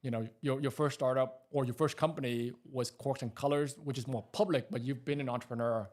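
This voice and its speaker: A man's voice: mid-range voice, fairly even intonation, fairly quick, a little mellow. A man hosting an interview in a studio, talking with many fillers and repeated words. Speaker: interview host.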